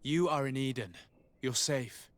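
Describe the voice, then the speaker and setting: A man's voice: medium pitch, unhurried, no room sound, lightly acted. A man playing a courteous, composed noble envoy in video game cutscene dialogue. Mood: composed, reassuring.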